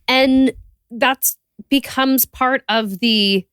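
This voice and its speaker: A woman co-hosting a podcast, talking casually and candidly with a friend, quick to react and give her opinion. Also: sits high, fairly bright tone, clear, resonant tone, no echo, studio quality. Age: adult, parent. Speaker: podcast co-host.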